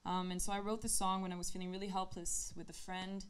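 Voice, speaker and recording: A woman's voice: mid-range voice, flat delivery, fast, slight background noise. Giggly woman introducing a song. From Brazil. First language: Portuguese. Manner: light, giggly, with frequent ums. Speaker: guest musician.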